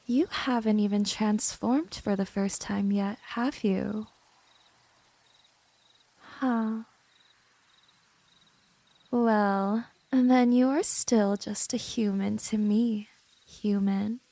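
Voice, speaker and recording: A woman's voice: medium pitch, steady tone, mellow, dry recording. A woman playing a teasing, domineering vampire in an ASMR roleplay, talking straight to the listener in a condescending, seductive way. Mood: amused, smug. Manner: slow, condescending, seductive, breathy drops into whisper. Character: smooth, teasing, domineering female vampire, gently predatory.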